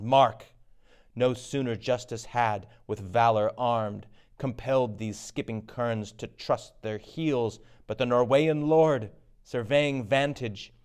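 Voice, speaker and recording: A man's voice: mid-range voice, darkish tone, clean tone, close to an everyday voice. A man playing a wounded soldier in a stage tragedy, reporting battle in a grave, declamatory manner.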